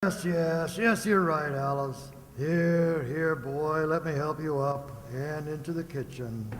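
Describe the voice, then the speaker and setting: A man playing a kindly, authoritative sea captain in an amateur radio play, calm and reassuring. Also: fairly high pitch. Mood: concerned, kindly. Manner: reassuring, measured. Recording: echoey hall, long reverb, background noise.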